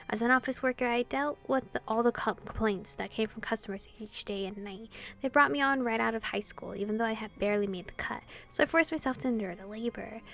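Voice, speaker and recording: A woman's voice: mid-range voice, creaky. A woman gamer reading a visual novel aloud expressively, in the style of a casual playthrough.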